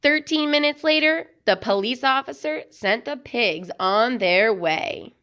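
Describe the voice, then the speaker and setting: A woman reads a children's story aloud with lively, swooping pitch. She takes her time and delivers each phrase deliberately. The home recording is slightly dull. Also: clear, resonant tone. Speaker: children's book reader. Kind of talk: expressive read-aloud storytelling.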